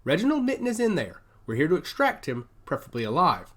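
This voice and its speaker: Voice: medium pitch, huge pitch swings, fast talker, a bit bright, slightly rough, full character voice. Male sci-fi soldier leader acted by a narrator: determined, terse, authoritative, very varied intonation. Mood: firm, businesslike.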